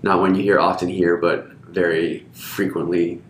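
A man's voice: deep voice, level tone, moderate pace. A young adult man, raised in Hungary, chatting casually as a podcast guest. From grew up in Hungary, lives in Austin. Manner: reads ad copy, then chats loosely with fillers. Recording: echoey room, faint noise.

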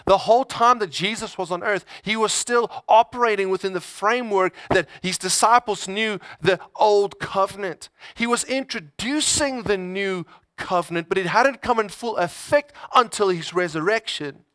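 A man's voice: high-pitched, moderate pace, few pauses, fairly bright tone, no room sound. A man preaching a conversational sermon, emphatic, repeating phrases and voicing people's inner thoughts in everyday words.

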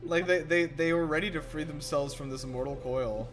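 Male podcast host, lighter-pitched, wry and teasing, informal film banter, some music underneath. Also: normal speed. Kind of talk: casual film reaction chat.

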